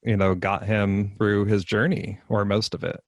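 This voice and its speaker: A man's voice: fairly low pitch, flat delivery, moderate pace, dry recording, clean studio sound. A middle-aged man hosting a podcast, chatting casually in an interview. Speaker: podcast host.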